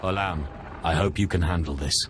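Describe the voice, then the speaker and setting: A man playing an ambitious dark sorcerer in a video game, speaking in a curt, controlled, formal way. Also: low voice, a little fast, a little hiss or hum, lightly acted. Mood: curt, commanding. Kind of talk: dramatic video game acting.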